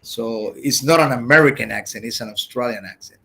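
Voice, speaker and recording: A man who speaks Spanish as his first language, teaching an English grammar class online, halting and repeating his words as he explains. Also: mid-range voice, vocal fry, a bit bright, breathy edge.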